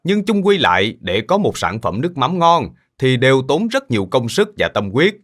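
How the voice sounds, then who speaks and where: A man's voice: medium pitch, big pitch swings, moderate pace, fairly bright tone. A man playing a kindly middle-aged uncle in a cartoon, patiently explaining things to children. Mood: patient, instructive. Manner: patient, instructive. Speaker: fish sauce maker explaining to children.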